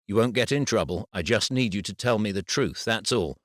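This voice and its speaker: A man voicing a worried father in an audiobook, speaking softly and reassuringly to his daughter. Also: fairly low pitch, a little fast, dry recording, studio quality, natural voice. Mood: gentle. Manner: soft, coaxing and reassuring.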